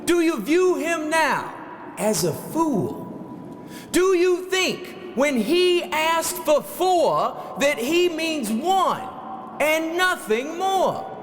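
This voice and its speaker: An actor playing a frustrated, grandiose old-time legislator declaiming an emphatic rhyming floor speech. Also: male voice, very high voice, fairly bright tone, heavily acted. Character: impassioned, frustrated 1909 legislator orating in rhyme. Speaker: actor in historical re-enactment. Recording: very echoey hall, background noise.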